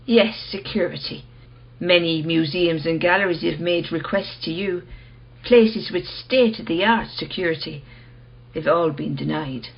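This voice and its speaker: An actress playing an earnest, quietly challenging woman in a radio play, speaking in a measured, persistent, questioning manner. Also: lowish voice, slightly rough, muffled recording.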